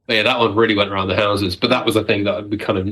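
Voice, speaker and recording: A man's voice: on the low side, fairly even intonation, racing, fairly bright tone. A man speaking casually as a writer being interviewed. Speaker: audio drama writer, podcast guest. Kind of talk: casual discussion.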